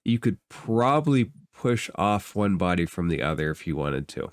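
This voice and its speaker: A man narrating a tabletop fantasy game as game master, describing scenes in improvised, halting phrases and thinking aloud. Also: low voice, sing-song, no echo, clean studio sound.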